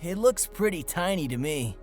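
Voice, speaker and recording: A man's voice: a little high, expressive, normal speed, soft background music. A man playing a laid-back but flustered space passenger in an audio drama, talking casually with a drawl. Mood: uneasy, casual.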